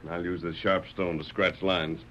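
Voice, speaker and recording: A man acting a commanding, calm cowboy hero in an old radio western, speaking firmly and precisely. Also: sits low, normal speed. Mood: calm, explaining. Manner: firm, precise, authoritative. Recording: dull, hissy old radio broadcast.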